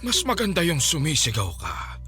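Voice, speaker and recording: An actor playing a menacing, lecherous middle-aged landlord obsessed with a younger tenant, in an overwrought radio drama style. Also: male voice, on the high side, huge pitch swings, normal speed, over music. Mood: menacing, lustful. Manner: leering, threatening, overwrought.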